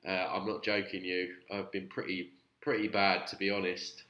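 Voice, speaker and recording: A man's voice: deep voice, flat delivery, moderate pace, pushed and bright, lo-fi sound. Nasal-sounding man vlogging in an echoey room. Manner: chatty, rambling, with many fillers. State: nasal from a cold. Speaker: YouTube channel host reviewing videos. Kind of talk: casual vlog commentary.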